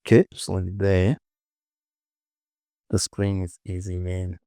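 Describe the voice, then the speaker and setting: Male tutorial instructor, low and unhurried, informal short phrases, close dry recording. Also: level tone, mellow, clean tone, no echo. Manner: informal, muttered short instructions to self and viewer. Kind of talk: casual screen-recorded software tutorial, talking while working.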